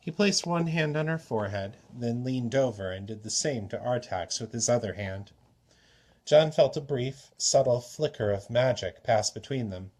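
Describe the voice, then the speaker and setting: Male story reader, medium pitch, scripted fiction narration. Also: moderate pace, darkish tone.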